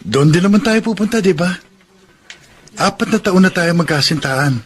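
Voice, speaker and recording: An actor with a Filipino accent playing a practical, working-class young suitor in a radio drama, with an earnest, pleading manner. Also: male voice, sits high, expressive, moderate pace, slight background noise. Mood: pleading, hurt. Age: young adult. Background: faint music.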